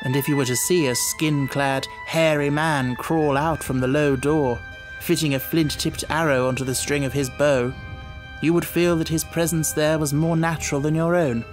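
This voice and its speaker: A man as earnest Victorian doctor, literary letter narration, formal, measured, reflective, audiobook. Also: on the high side, fairly even intonation, over music, close to an everyday voice, poor audio. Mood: musing, earnest.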